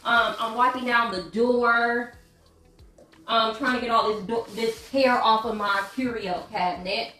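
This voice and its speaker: A woman talks to her viewers while cleaning her living room. Her voice sits a little high, and her talk is chatty and gossipy. The camera stands across an echoey room. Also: fairly even intonation, unhurried, bright, forceful tone, faint music behind. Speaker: vlogger. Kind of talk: casual chat while cleaning. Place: home living room with hardwood floor. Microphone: camera set up across the room.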